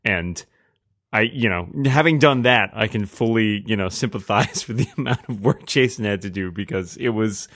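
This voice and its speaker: A man speaking casually as a podcast panelist, with a wry and halting way of talking. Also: on the low side, fairly bright tone, no echo, clean studio sound. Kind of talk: casual group discussion.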